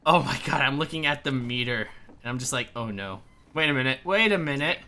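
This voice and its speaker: A man chatting casually while playing a video game, reacting in an excitable, nervous way. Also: medium pitch, brisk. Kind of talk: casual gaming commentary.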